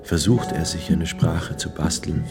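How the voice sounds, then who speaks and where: A man's voice: a bass voice, fairly even intonation, measured pace, gravelly. A man reading scripted literary narration in a declamatory way. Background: music.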